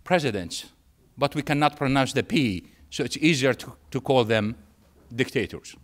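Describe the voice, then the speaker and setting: A man from Syria with a Syrian Arabic accent and Arabic as his first language, giving a public lecture in an emphatic way with repetition and pauses for effect. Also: mid-range voice, a little hiss or hum. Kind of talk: public lecture with anecdotes and humour.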